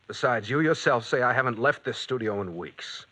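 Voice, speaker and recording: An actor playing a moody, defensive painter husband with a theatrical, grandiose way of talking in a radio mystery. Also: male voice, mid-range voice, animated, normal speed, pushed and bright. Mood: defensive, irritated. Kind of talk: old-time radio mystery acting.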